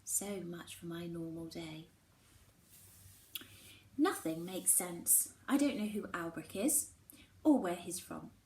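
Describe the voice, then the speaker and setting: A woman teacher reading a children's novel aloud in an expressive storytelling style. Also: lowish voice, takes it slowly, pauses often. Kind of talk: expressive storybook read-aloud with acted dialogue. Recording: roomy echo with faint background noise.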